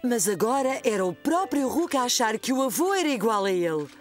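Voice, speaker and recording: A woman's voice: on the high side, very animated, fairly quick, soft background music. A woman with a European Portuguese accent reading scripted storybook narration for a children's cartoon. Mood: storytelling.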